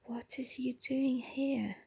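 A woman's voice: sits high, fairly even intonation, slow pace, gravelly, darkish tone, husky, full character voice. A woman whispering the part of a frantic, loyal female house-elf with broken grammar. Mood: shrieking.

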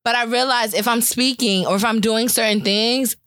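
A woman's voice: fairly high pitch, steady tone, moderate pace, a bit bright, dry recording, studio quality. A woman from Brooklyn, a guest blogger on a podcast, telling her story in an easygoing, reflective way. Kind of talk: casual chat.

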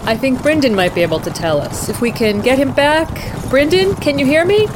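A woman's voice: sits high, lively intonation, fairly quick, background noise. A woman playing a dramatic news anchor hosting a mock live radio battle report. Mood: urgent. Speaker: host.

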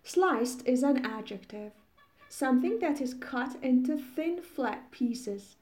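A woman from Iran, a native Farsi speaker, teaching English vocabulary in a deliberate, explanatory way with definitions and examples. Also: sits high, lively intonation, a little mellow.

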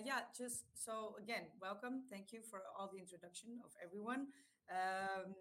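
A woman co-hosts an online webinar, speaking haltingly with many uh and um fillers. Her voice has an everyday middle pitch. She comes through a video call mic. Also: noisy background. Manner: halting, frequent "uh" and "um". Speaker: recruitment consultant, webinar co-host. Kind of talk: casual webinar talk.

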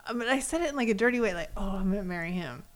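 A woman's voice: medium pitch, rapid-fire. An American woman from the West Coast, a co-host chatting casually and telling personal stories, acting out what people said. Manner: animated storyteller, acts out quoted remarks.

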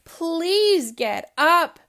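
A woman voicing a wise father who speaks gently and patiently. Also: very high voice, very animated, drawn-out words, voice pushed up, full character voice. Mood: pleading.

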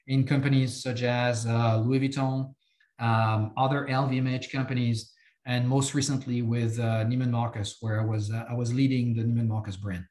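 A man in his fifties from France with a French accent, speaking as a company chief executive guest in a podcast interview, halting with many repeated words and fillers. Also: medium pitch, little pitch movement, a little mellow, roomy sound.

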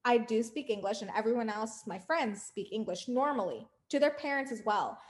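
A woman's voice: fairly high pitch, animated, normal speed. A young American woman co-hosting a podcast, talking in an earnest, emphatic way and building up her points. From United States. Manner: earnest, emphatic, lists points with rising force. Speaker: podcast co-host. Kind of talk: casual podcast discussion.

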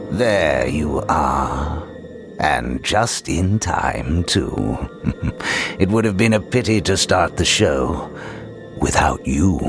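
A man playing a genial, mysterious Halloween storyteller, narrating in a measured, ominously playful, theatrical way. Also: rumbling low, full character voice. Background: music.

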